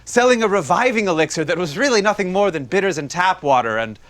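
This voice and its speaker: An actor on stage playing a sardonic, needling accuser who narrates dark crimes in a pointed, dramatic way. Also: male voice, high voice, expressive, fast talker. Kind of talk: period stage play acting. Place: theatre stage. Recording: live theatre stage recording with music.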